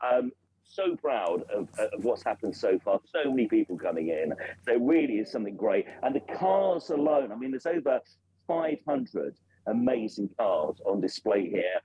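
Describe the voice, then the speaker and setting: A man's voice: on the low side, very animated, normal speed, mellow, poor audio. A male radio presenter reporting live and enthusiastically from an outdoor race circuit, promoting a festival. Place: outdoors at a motor racing circuit. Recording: remote line, cars audible behind.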